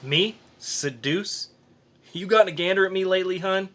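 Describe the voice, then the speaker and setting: A man playing a gruff, reluctant, slangy blue-collar worker in an amateur dramatic comic book reading. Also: sits high, moderate pace, a bit bright, slight background noise. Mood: grudging, incredulous.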